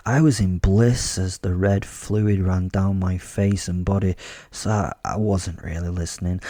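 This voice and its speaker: A gravelly British werewolf, played by a man, tells his horror story in a dark, mellow voice. He is a sardonic, unhinged serial killer who swears freely and savours every bit of gore, recorded close and dry for audio drama. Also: lowish voice, dry recording. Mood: blissful, menacing. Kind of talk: first-person horror audio drama narration.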